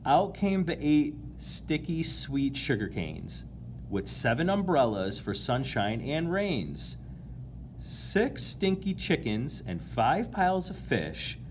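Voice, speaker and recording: A man reading a children's picture book aloud in an expressive, playful way, drawing out key words and chatting to his young listeners. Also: mid-range voice, slow, a little hiss or hum. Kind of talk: animated read-aloud to children, with asides to listeners.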